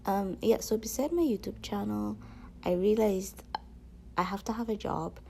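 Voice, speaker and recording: A woman's voice: medium pitch, steady tone, normal speed, creaky, a little mellow, a little hiss or hum. A woman vlogger chatting casually to her viewers in a rambling, slangy way. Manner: rambling, peppered with slang and filler phrases.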